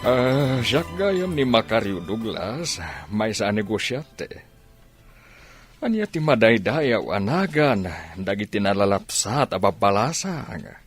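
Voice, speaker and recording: A man's voice: on the low side, very animated, slow, husky, faint music behind, heavily acted. An actor with an Ilocano Filipino accent playing a courteous, flirtatious businessman in a radio drama. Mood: courtly.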